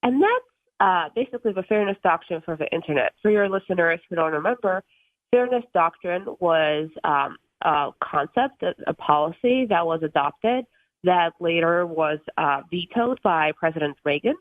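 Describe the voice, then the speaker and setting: Woman in her twenties, from Russia, radio guest, lowish voice, tinny phone line, earnest with frequent ums. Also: expressive, normal speed, no room sound. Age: 20s. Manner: earnest, many ums and uhs, occasional laughs. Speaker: guest from a tech policy group.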